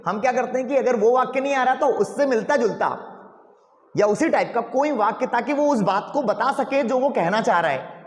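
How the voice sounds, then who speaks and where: High-voiced man lecturing in echoey classroom. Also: lively intonation, moderate pace. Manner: asks the class rhetorical questions. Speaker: English teacher.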